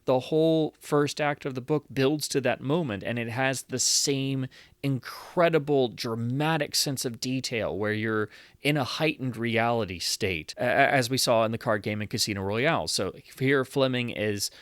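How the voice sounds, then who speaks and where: A man giving an unscripted, analytical book review, speaking thoughtfully and haltingly as he works through his points. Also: mid-range voice, a bit bright, no room sound, clean studio sound. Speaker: book reviewer, channel host.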